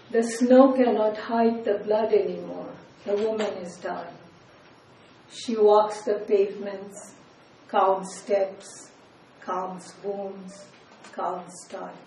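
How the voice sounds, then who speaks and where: An older woman from India, a poet whose first language is not English, giving a slow, measured poetry reading with soft, trailing line endings and short asides between poems. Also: mid-range voice, fairly even intonation, slight background noise. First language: Bengali. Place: reading event in a room. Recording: distant, echoey room with faint ambience.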